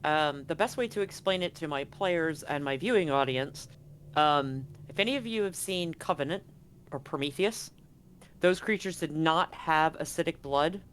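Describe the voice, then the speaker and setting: A man's voice: a little high, normal speed, a bit bright, slight background noise. Casual male game master explaining things. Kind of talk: casual narration of a live-streamed tabletop game.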